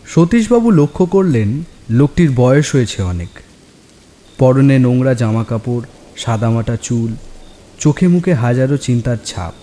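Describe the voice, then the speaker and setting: A man narrating a scripted horror story in a measured, suspenseful way. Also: medium pitch, sing-song, dark tone. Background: music and rain.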